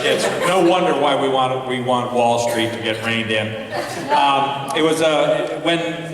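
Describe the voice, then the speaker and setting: A man giving informal spoken feedback as an awards judge, halting as he searches for words. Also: mid-range voice. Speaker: festival adjudicator giving feedback on plays. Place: large hall at a school ceremony. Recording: very echoey hall, distant microphone.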